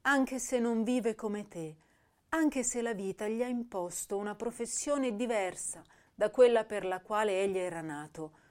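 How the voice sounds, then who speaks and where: A woman's voice: mid-range voice, a little mellow, lightly acted. A woman playing an intense, tender, self-reproaching young poet in a radio drama, reading her letters and verse with theatrical feeling. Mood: tender, confessional. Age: young adult. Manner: slow, emotive, theatrical delivery.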